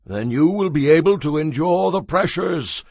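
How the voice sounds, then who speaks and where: Older male priest for audio Bible drama: earnest, declamatory, highish pitch, very animated. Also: moderate pace, gravelly, dry recording, studio quality. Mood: earnest advising. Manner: declamatory, exhorting. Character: earnest, emphatic old male priest and father-in-law.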